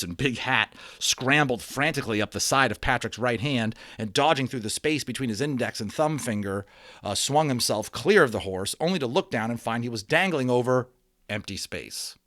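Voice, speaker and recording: A man reading a children's book aloud as a storyteller, expressive and dramatic, with conversational explanations for listeners. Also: medium pitch, no room sound, studio quality. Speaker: storybook reader.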